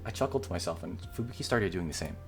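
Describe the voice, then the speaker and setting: A man's voice: sits low, animated, fast, creaky, faint music behind. A man reading a visual novel aloud as a gaming video creator, with casual commentary.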